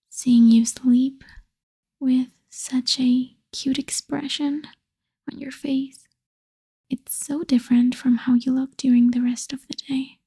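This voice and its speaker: A woman playing an obsessive, lovestruck female vampire in a whispered ASMR roleplay, breathy, coaxing and possessive, seductive with quiet menace. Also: sits high, heavily acted.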